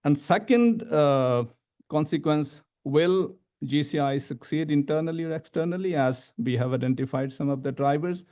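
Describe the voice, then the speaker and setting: A middle-aged man with an Indian accent giving a panel presentation as an academic, halting and repeating words as he stresses each new point. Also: on the high side, darkish tone. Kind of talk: panel presentation, semi-scripted lecture. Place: medium-sized indoor conference room. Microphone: gooseneck table microphone.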